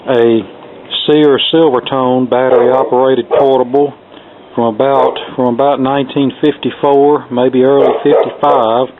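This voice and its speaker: A man's voice: mid-range voice, flat delivery, a little slow. A man giving a casual, unscripted hands-on demonstration as a repair hobbyist, explaining things in a matter-of-fact, rambling way. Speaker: vintage radio repair hobbyist presenting a video. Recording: thin phone-like sound, clearly noisy.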